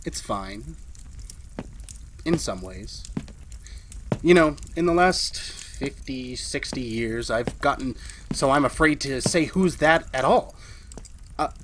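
Casting: male actor for fretful long-suffering husband, mid-pitched, hesitant, 1940s radio-thriller narration, faintly noisy. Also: fairly bright tone. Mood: wary confiding. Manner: nervous, hesitant, aggrieved. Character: henpecked, fretful, very long-lived husband of a witch. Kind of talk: retro 1940s radio drama, confiding first-person narration.

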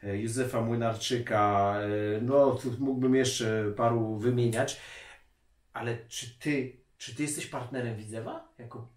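A man in his forties interviewing casually, with halting, searching phrasing. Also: medium pitch, steady tone. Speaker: interviewer, host. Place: small office, indoors. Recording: echoey room, distant microphone.

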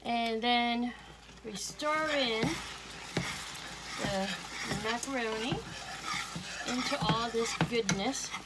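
Slow, homey woman cooking in her kitchen. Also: a little high, heavy background noise. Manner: homey, unhurried, chuckles often. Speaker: home cooking vlogger. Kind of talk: casual cooking demonstration. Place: home kitchen, indoors. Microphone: fixed camera at counter, speaker moving around.

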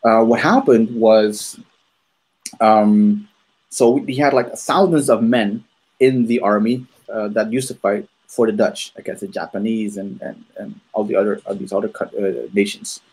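A man in his thirties from Maluku, hosting a podcast over a video call, telling his story at an unhurried pace with many ums and restarts. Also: lowish voice, fairly even intonation, a little mellow, slightly rough, rough recording. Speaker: podcast host. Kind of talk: casual conversational storytelling. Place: room at home, on a video call. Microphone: earphones with a desk microphone.

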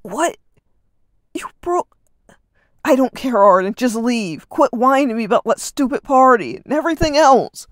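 A storyteller voicing a stressed teenage older brother who snaps and yells harshly. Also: medium pitch, lively intonation, normal speed, no echo, clean studio sound, pitched above the performer's own voice, natural voice. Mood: furious, yelling. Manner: harsh, curt, yelling.

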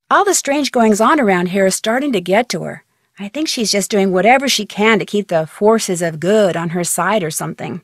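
A young woman with an American accent playing a chatty, curious, level-headed amateur detective in a video game, speaking conversationally with clear diction. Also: medium pitch, no room sound, studio quality, close to an everyday voice. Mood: matter-of-fact, curious. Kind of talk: video game voice acting, conversational phone-call dialogue.

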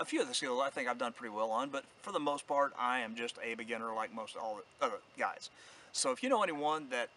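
Guy in his 50s chatting to camera out in the woods, bright punchy voice, down to earth, some outdoor noise. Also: mid-range voice. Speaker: outdoor channel host. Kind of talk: casual vlog talk to camera. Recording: clear outdoor ambient noise.

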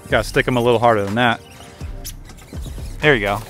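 A man's voice: mid-range voice, a little fast, fairly bright tone. A man guiding a fishing charter from a boat, hyped and laughing as fish come in, swearing now and then. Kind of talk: excited on-the-water banter. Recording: music playing behind voice.

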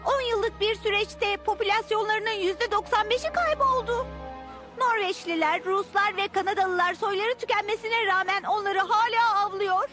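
A woman voicing an earnest little child who explains grave facts in a dubbed educational cartoon. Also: very high voice. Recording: loud music behind the voice.

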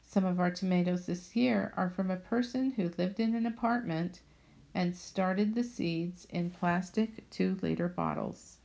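A woman narrating a voice-over about her home garden in a steady, plainly read manner. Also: fairly low pitch, a little slow, creaky, rough recording. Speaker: budget vlogger.

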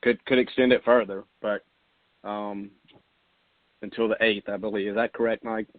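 A man's voice: on the low side, flat delivery, normal speed. A man chairing a formal meeting as mayor over a conference call. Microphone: telephone. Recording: echoey, muffled narrow phone line.

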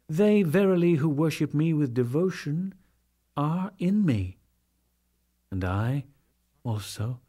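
A man voicing a slow, solemn divine lord. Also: mid-range voice, a little mellow. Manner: solemn, measured, declamatory, with deliberate pauses. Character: Krishna, solemn divine lord teaching a devotee. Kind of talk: dramatic reading of sacred scripture.

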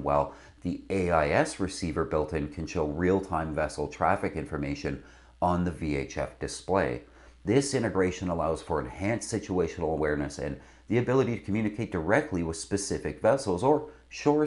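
A man's voice: rumbling low, a little mellow. A middle-aged man presenting scripted instruction to camera as a sailing video host. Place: indoor studio with branded backdrop. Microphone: lapel mic.

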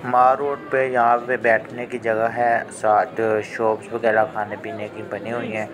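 A man with a fairly low voice walks viewers through the shops of a market at night. He explains in a relaxed, easygoing way. Crowd chatter fills the street behind him. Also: measured pace. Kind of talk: casual guide talk. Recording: busy crowd noise behind.

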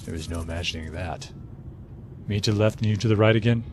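A man's voice: lowish voice, quick pace, noisy background, lightly acted. An actor playing a teasing, watchful armed spaceship crewman in an audio drama. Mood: wary.

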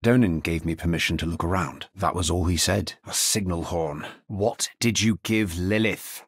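Fantasy game necromancer hero: grave and understated, husky tone, very dry close sound. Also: male voice, deep voice, moderate pace, dry recording. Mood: solemn. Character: grave, world-weary male necromancer hero. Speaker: video game voice actor. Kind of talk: dramatic fantasy game dialogue lines.

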